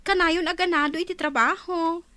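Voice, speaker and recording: A woman's voice: very high voice, fairly even intonation, moderate pace, bright, forceful tone, no room sound. A woman playing a fun-loving young friend in an Ilocano audio drama, teasing and bubbly.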